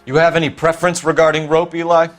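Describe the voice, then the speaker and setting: A man's voice: fairly high pitch, level tone, fast talker, soft background music, natural voice. An actor playing a righteous, proud detective and army officer in a video game, an American man who speaks in a stern, probing, precise way. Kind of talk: dramatic video game acting.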